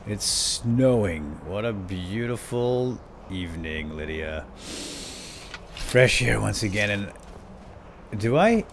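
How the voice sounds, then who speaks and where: A man's voice: lowish voice, animated, slow, pauses often, a bit bright, slight background noise. A man giving playful, theatrical, lightly role-played video game commentary, talking to his companion as he plays. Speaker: gaming video host. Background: game ambience and effects.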